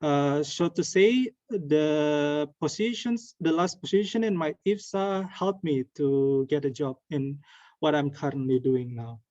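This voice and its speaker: A young man from Indonesia giving an earnest online presentation over a video call, with pauses and restarts. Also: fairly high pitch, darkish tone, no room sound. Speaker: webinar presenter. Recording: dull lo-fi call audio.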